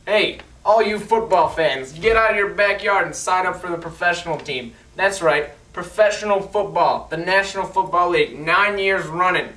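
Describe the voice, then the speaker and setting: A man's voice: high-pitched, normal speed, hardly any pauses, pushed and bright. A man playing a genial, dapper 1920s newsman who presents in period slang with a showman's manner. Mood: upbeat. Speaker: news anchor. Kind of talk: scripted 1920s news broadcast. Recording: echoey room, distant mic.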